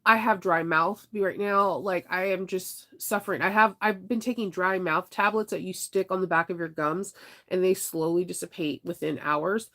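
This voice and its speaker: A woman's voice: fairly low pitch, fairly even intonation, normal speed, a bit bright. Chatty congested woman vlogger. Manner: chatty, laughs often, rambling asides. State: congested, stuffy nose. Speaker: vlogger talking to viewers. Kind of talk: casual chat.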